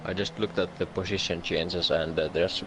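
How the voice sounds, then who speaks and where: A man co-commentating casually on an online race, calm and matter-of-fact. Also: sits low, normal speed, poor audio. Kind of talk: casual live sim-racing commentary. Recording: audible background noise.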